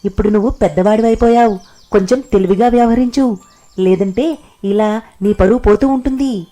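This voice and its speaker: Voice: medium pitch, normal speed, dark tone, pitched above the performer's own voice, lightly acted. A narrator voicing an exasperated mother who nags and scolds her grown son in a cartoon story. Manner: nagging, scolding.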